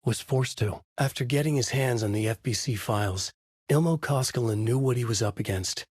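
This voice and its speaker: An actor playing a troubled novelist in a horror video game, narrating his dark story in a husky, grave, deliberate noir style. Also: medium pitch, no echo, studio quality, natural voice. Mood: grave, brooding.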